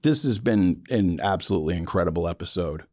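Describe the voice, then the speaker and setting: A man's voice: low voice, brisk, darkish tone. A man hosting a podcast in a casual, joking way, rambling and self-deprecating, with the odd casual swear word. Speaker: podcast host interviewing a filmmaker.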